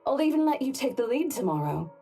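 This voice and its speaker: A woman's voice: high-pitched, sing-song, quick pace, warm tone, faint music behind. A woman voicing a caring, insistent young working woman in a visual novel, earnest, firm and coaxing. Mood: pleading.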